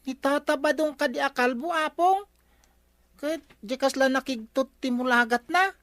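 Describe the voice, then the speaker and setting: Nosy high-voiced kid. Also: normal speed, a bit bright, no room sound. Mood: curious. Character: nosy, cheeky young grandchild of the village captain. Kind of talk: Ilocano comedy radio drama acting. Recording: faint background noise.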